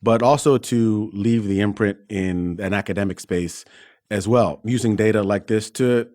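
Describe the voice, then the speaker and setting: A man's voice: on the low side, moderate pace, a little mellow, no echo, clean studio sound. A man hosting an interview podcast, speaking conversationally to his guests. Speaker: podcast host, doctor.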